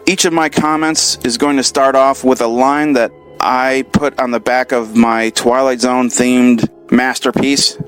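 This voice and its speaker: A man from the United States reading out a listener comment in a steady, methodical way. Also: medium pitch, a little slow, few pauses, soft background music. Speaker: listener contributor.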